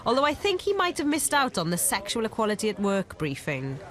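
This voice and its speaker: A woman in her thirties presenting a TV documentary, talking with bright enthusiasm. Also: fairly high pitch, huge pitch swings, a little fast, heavy background noise. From Wales. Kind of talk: presenting and interviewing. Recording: music under the voice.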